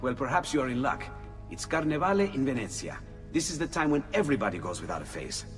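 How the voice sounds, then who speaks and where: A man plays an excitable Italian inventor in a game cutscene. His pitch swings expressively, and he sounds set back in a reverberant space with music behind him. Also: quick pace, far from the mic, close to an everyday voice. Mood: helpful. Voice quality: expressive, animated. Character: excitable, inventive Venetian male artist friend. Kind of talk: video game cutscene acting.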